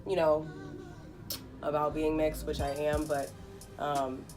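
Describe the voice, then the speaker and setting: Interview guest: woman, 20s, very deep register, candid and reflective, prone to swearing, clear music bed. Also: level tone, a little mellow, recorded from a distance. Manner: frank, halting and reflective, with casual swearing. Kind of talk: candid personal conversation. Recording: off-mic voice under prominent music.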